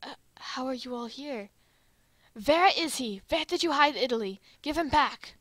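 Hot-tempered anime military man, played by a woman, high-pitched, forceful and demanding, dry recording. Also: animated, moderate pace, dry recording. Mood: furious. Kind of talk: amateur fan dub roleplay.

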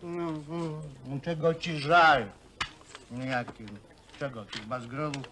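Ostry, szyderczy głos robotnika. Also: male voice, on the high side, fairly even intonation, takes it slowly, pushed and bright. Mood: scornful. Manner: jeering, colloquial. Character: mocking, bullying worker in a men's hostel. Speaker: film actor. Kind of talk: naturalistic film drama dialogue. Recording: noisy old film soundtrack.

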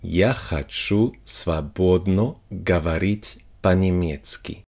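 A man with a low voice reads lesson phrases at a very slow, deliberate pace. He says each short sentence several times, giving the learner time to repeat it. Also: gravelly, a little mellow. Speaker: language teacher.

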